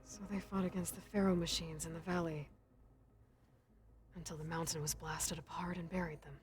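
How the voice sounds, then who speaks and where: A woman's voice: lowish voice, steady tone, moderate pace, natural voice. A woman voicing a determined young hunter. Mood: curious. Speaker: protagonist of a video game. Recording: game audio with faint music.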